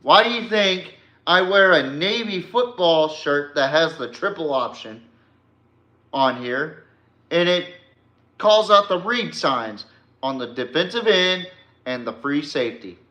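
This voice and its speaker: Blunt man with bright, echoey podcast sound. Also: on the high side, animated. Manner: blunt, mocking, profane, halting with repeats. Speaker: podcast host. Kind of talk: opinionated sports podcast rant, informal.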